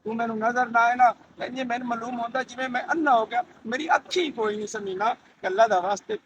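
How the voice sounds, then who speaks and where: A man's voice: extremely high pitch, fast talker, dull audio. A man playing a sweet-talking, cowardly cheating husband in an amateur comic melodrama, exclaiming and pleading. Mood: amorous, scheming. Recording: noisy amateur location recording.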